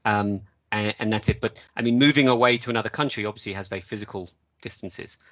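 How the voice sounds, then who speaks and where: A man's voice: lowish voice, fast, fairly bright tone, no room sound. Chatty British-born man on a video call. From United Kingdom. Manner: chatty, frequent "you know" fillers. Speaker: webinar speaker. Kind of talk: casual panel answer.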